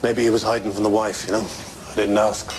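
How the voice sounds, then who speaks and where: An actor playing an offhand, laconic pub bartender who answers tersely in an audio drama. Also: male voice, fairly low pitch, flat delivery, a little fast, background noise, close to an everyday voice.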